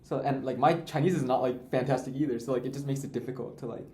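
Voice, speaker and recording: A man's voice: mid-range voice, fairly even intonation, fast talker, a little mellow. A young man co-hosting a student podcast, talking casually with many fillers and restarts. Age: young adult. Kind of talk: casual discussion. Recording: echoey room, faint noise.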